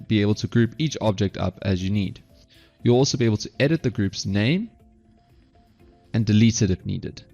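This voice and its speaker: A man narrating an instructional software screencast step by step, halting at times and correcting himself. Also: lowish voice, vocal fry, lo-fi sound. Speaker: software tutorial presenter.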